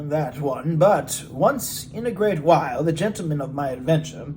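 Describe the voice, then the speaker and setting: A talkative storybook gentleman, acted out by a man, speaks high for a male voice and swings widely in pitch. He is candid and emphatic, exclaiming as he recounts his tale. There is steady background noise. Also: low-quality recording. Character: Mr Enfield, talkative, candid English gentleman telling an odd tale.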